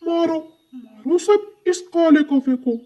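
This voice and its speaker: A man voicing an excitable, feisty male parrot in a children's cartoon, with a squeaky voice. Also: slow pace, distant mic, muffled recording, exaggerated voice. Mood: angry, vengeful. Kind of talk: Hindi cartoon story acting.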